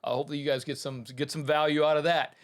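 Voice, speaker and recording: A man who talks in a loose, rambling way, full of fillers, restarts and a running "man". His voice sits a bit higher than most men's. He hosts an audio tutorial channel and switches to plain story reading. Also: flat delivery, racing. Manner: rambling and chatty, many fillers and restarts, keeps saying "man". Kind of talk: casual talk to viewers, then reading a short story.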